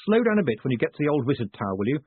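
A man acts out a confident storybook rogue who gives orders lightly. He is smooth-talking and assured, with a slightly husky edge. The audio is thin and phone-like. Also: mid-range voice, very animated, rapid-fire, no echo, voice pushed up. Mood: calmly assured. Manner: breezy, assured. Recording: narrow phone-like sound.

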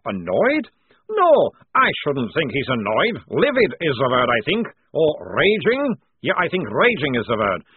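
Man doing a cocky, husky army sergeant. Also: fairly high pitch, very animated, normal speed, no room sound, narrow, tinny audio, voice pushed up. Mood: sarcastic. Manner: sarcastic, drawling. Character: cocky, relaxed captured male army sergeant, mocking.

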